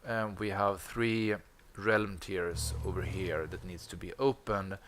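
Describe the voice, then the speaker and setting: A man's voice: sits low, steady tone, slow pace, a bit bright, slight background noise. A man streaming a video game, explaining goals in a hesitant, filler-heavy way. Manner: hesitant, many ums and uhs. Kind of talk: casual gameplay commentary.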